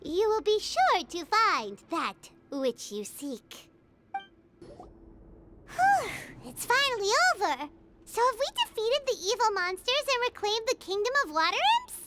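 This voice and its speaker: A woman voicing a tiny, excitable fairy in a video game, theatrical and given to playing the pompous goddess. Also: extremely high pitch, unhurried, background noise, full character voice. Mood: grand, then relieved. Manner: excitable, theatrical, refers to self in third person.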